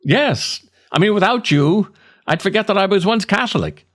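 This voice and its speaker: A man reading his own scripted comic writing as a podcast host, theatrical and wry, with deliberate dramatic pauses. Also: high-pitched, lively intonation, fairly bright tone.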